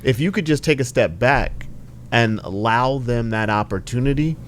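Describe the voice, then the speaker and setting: A man co-hosting a podcast as a basketball trainer, speaking slowly and earnestly and often agreeing. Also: medium pitch, slight background noise. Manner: slow, earnest, agreeable with frequent affirmations. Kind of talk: casual podcast chat.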